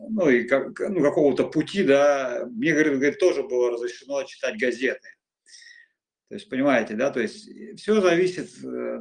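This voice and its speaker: A middle-aged man, a monk, giving informal spiritual guidance in a halting way, with many fillers and restarts. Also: mid-range voice.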